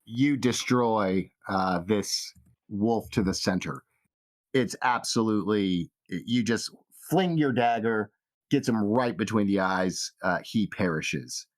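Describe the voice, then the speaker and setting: A man's voice: fairly low pitch, slow pace. A man running a tabletop fantasy game as game master, narrating the action.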